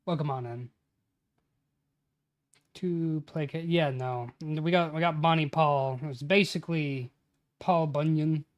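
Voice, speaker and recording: A man's voice: on the high side, steady tone, unhurried, long pauses, lo-fi sound. A man in his late twenties streaming casually, murmuring phrases aloud as he writes, then chatting with friends and swearing freely. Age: 20s. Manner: mutters lines aloud while typing, then casual banter with swearing. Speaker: streamer writing a tabletop campaign. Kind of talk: casual livestream chat.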